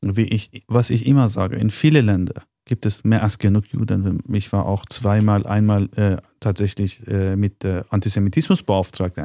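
Guy speaking german as 2nd language, halting, stops to find words, dark mellow tone. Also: lowish voice, no room sound. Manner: non-native German with grammar slips, searches for words. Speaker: podcast guest. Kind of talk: casual conversation.

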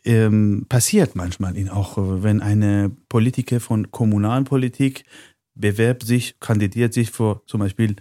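Man, dark dry voice. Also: on the low side, fairly even intonation, unhurried, no room sound. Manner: non-native German with grammar slips, searches for words. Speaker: podcast guest. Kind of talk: casual conversation.

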